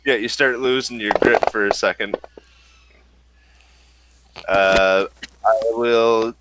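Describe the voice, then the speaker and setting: A man narrating a tabletop horror game as game master, in slow and halting speech with many fillers. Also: on the low side, steady tone, a bit bright, a little hiss or hum, poor audio.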